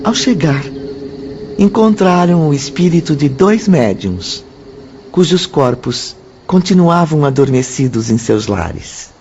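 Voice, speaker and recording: A man's voice: on the high side, very animated, slow, a little mellow, a little hiss or hum. A man narrating a scripted radio drama as its storyteller. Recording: slightly dull audio, music behind.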